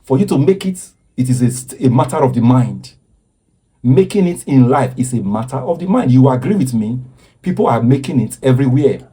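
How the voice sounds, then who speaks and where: A man's voice: mid-range voice, dark tone, husky. A man giving impassioned social commentary in an earnest, preaching way, often stopping to repeat himself.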